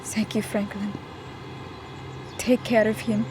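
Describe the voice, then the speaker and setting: A woman's voice: mid-range voice, little pitch movement, slow, background noise, natural voice. An actress playing a protective, upset mother with an emotional, pleading delivery in an audio drama. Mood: pleading, sad. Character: distressed, protective mother standing up to husband.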